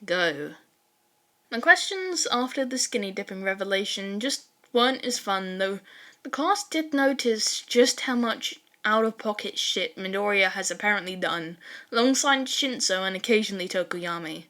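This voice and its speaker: A woman narrating fan fiction as an expressive storytelling reader. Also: medium pitch, normal speed.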